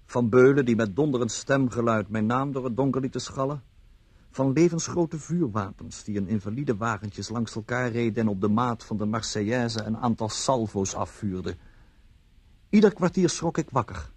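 A man's voice: on the low side, slightly rough, dry recording. An actor from the Netherlands playing a droll, world-weary bon vivant who narrates his own story in a radio drama. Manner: florid, droll, deadpan.

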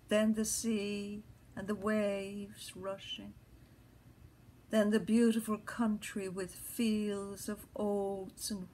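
A woman's voice: mid-range voice, low-quality recording. A woman performing a restless, earthy wife who lies awake musing, in a theatrical stream-of-consciousness monologue. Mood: rapturous.

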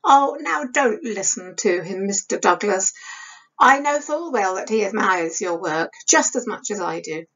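A woman's voice: medium pitch, expressive, unhurried, fairly bright tone. A woman voicing a good-natured mature actress and wife, fondly chiding in period speech for an audiobook. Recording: dull lo-fi webcam sound.

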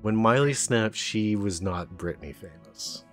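Lower-pitched man musing on a podcast. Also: expressive, unhurried, a bit bright, faint music behind. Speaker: podcast co-host. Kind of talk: casual film discussion.

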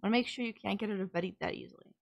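A woman's voice: medium pitch, very fast, gravelly. A woman playing a sardonic villain nemesis in an audio roleplay, scolding and teasing the listener in an exasperated but caring way. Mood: scolding care.

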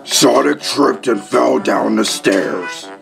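A man's voice: medium pitch, takes it slowly, vocal fry, music in the background. A man voicing a grumpy, hostile cartoon echidna in a fan comic dub. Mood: gruff, deadpan.

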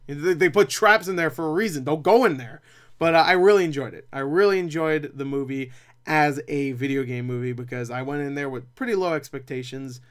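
A young man reviews movies in a voice pitched a little above average. He talks casually and without a script, rambling along with animated asides and a self-deprecating streak. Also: a little fast, clean tone. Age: young adult. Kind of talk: casual unscripted review chat. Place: small cluttered room at home, indoors.

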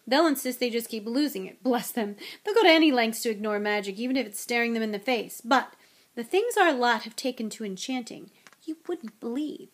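A woman's voice: fairly high pitch, lively intonation, fairly quick. A woman voicing a mild, tired middle-aged father in a storybook, with a hesitant, mumbling manner. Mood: weary. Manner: hesitant, stammering, sighing, mumbling.

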